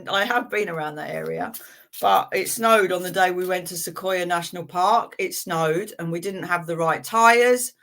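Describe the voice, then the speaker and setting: A woman's voice: lowish voice, moderate pace, gravelly, a bit bright. A woman hosting a live tarot reading stream, chatting in a friendly way with viewers and reading cards for them, with frequent fillers and laughs.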